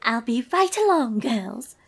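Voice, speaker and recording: A woman's voice: on the high side, sing-song, slow pace, slightly rough, voice pushed up, exaggerated voice. A woman voicing a refined, theatrical young female pony with a polite, affected manner in a cartoon story reading. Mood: breezy, genteel.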